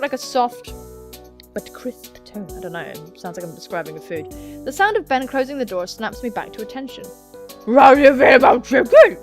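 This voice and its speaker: A cheeky, theatrical woman hosting a gaming video, reading a story aloud with irreverent joking asides. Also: mid-range voice. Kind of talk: reading a visual novel aloud with playful joking commentary.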